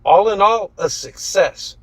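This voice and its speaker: A man voicing a self-satisfied, scatterbrained male inventor from a novel, flustered and quick to justify himself. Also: high voice, no room sound, voice pushed up, low-quality recording. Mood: pleased, explaining.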